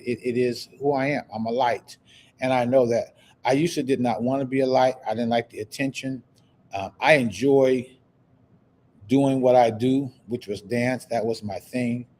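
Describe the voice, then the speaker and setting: A man's voice: mid-range voice, a little mellow. Male livestream host with a lisp and stutter, mid-range voice, upbeat motivational chat, light room noise behind. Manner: repetitive, exclamatory, reading viewer comments aloud. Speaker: host of a live online talk show. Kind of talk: casual motivational livestream talk.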